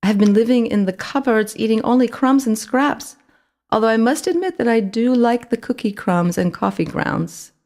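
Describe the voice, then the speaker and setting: A woman voicing a small, cultured female mouse with a put-on French accent, squeaky and proud, sprinkling in French words. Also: expressive, moderate pace, a little mellow. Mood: squeaky, chatty.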